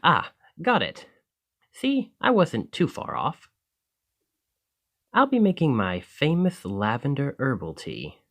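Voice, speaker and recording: A man playing a friendly, excitable village alchemist in an ASMR roleplay, chatting eagerly to the listener in a slightly flustered, rambling way. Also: medium pitch, takes it slowly, long pauses, heavily acted. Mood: flustered, cheerful.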